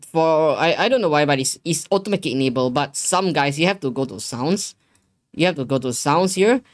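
A young adult man hosting a casual software tutorial, explaining in halting, repetitive phrases with non-native English wording. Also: a little high. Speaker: tutorial host. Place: living room gaming setup at home.

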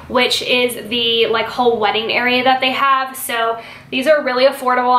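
A woman's voice: a little high, bright, forceful tone. A woman in her twenties with an American accent, chatting casually and informally to camera as a home decor vlogger. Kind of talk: casual haul vlog chat to camera. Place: furnished home room, indoors. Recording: roomy indoor echo.

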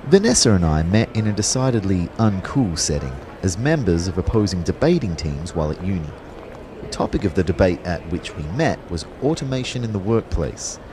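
An actor playing a wry, lovestruck young personal trainer who narrates an audio drama in a measured, understated way. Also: male voice, sits low, big pitch swings, noisy background. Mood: wry, fond.

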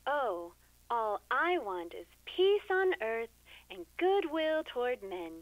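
A woman's voice: a little high, big pitch swings, takes it slowly, no room sound, muffled recording. A woman playing an exasperated, lovelorn girlfriend calling a radio show in a comic puppet skit, dramatic and oversharing. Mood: sweet.

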